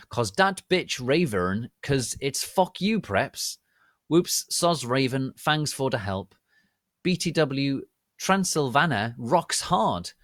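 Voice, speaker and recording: A man's voice: mid-range voice, fairly bright tone, no room sound, clean studio sound, pitched above the performer's own voice. A man voicing a sullen, foul-mouthed teenage goth girl with aggressive, overwrought outbursts in a melodramatic story.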